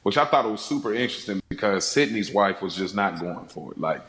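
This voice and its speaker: A man's voice: fairly low pitch, expressive, quick pace, a bit bright, echoey room. A man in a casual film discussion on a podcast, rambling and repeating phrases as he acts out lines. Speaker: podcast panelist.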